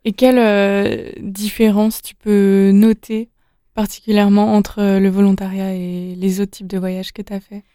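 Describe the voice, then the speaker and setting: A woman interviewer asking slow, hesitant questions with fillers. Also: mid-range voice, flat delivery, dark tone, no room sound, clean studio sound.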